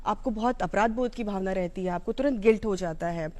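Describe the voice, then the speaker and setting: A woman's voice: mid-range voice, brisk, dark tone. A woman with an Indian accent giving an informal, explanatory educational talk, mixing in English terms. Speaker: psychology educator.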